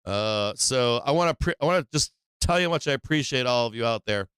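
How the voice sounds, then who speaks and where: Man, smooth clear voice, dry close sound, live stream host, casual market commentary, blunt, slangy, sweary. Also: medium pitch, animated, fairly quick, a bit bright, no echo. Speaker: live stream host of a stock trading show.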